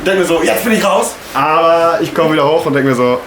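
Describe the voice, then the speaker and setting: Ein junger Mann erzählt locker und umgangssprachlich als Kajakfahrer direkt in die Kamera. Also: male voice, on the high side, fairly quick, fairly bright tone. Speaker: kayaker recounting the day. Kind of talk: casual storytelling to camera, slangy. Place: indoors in an unheated house. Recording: echoey room, noticeable background noise.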